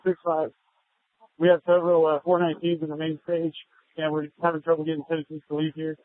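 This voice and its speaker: A man's voice: on the high side, completely flat delivery, fast talker, dry recording. A man speaking as a police officer, reporting over police radio. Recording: thin narrow police scanner radio.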